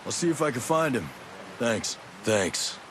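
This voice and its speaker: An actor playing a steady, helpful special forces soldier with a husky voice in a video game. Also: male voice, mid-range voice, a little slow, noisy background. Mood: steady, reassuring. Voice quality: husky, gravel-edged. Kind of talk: video game cutscene acting.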